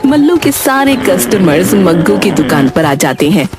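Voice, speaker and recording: A woman's voice: mid-range voice, big pitch swings, moderate pace, over loud music. A woman reading scripted narration for a children's moral story.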